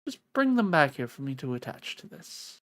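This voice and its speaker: Eccentric female Hatter for a visual novel, acted by a streamer: flippant, bossy, lively pitch, dry sound. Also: moderate pace, no echo. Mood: breezy, bossy. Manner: flippant, offhand.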